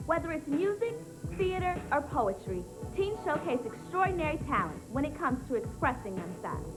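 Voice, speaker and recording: A woman's voice: high voice, sing-song, moderate pace. A woman hosting a youth TV show with a scripted presenter link. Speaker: teen TV show host. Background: music.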